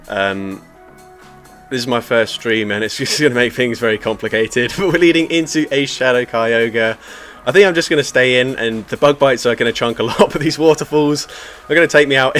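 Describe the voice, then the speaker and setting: A man giving energetic shoutcaster-style commentary on a live-streamed game, laughing often as he talks. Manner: energetic shoutcaster delivery, laughs often, lively pitch jumps. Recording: music playing faintly behind.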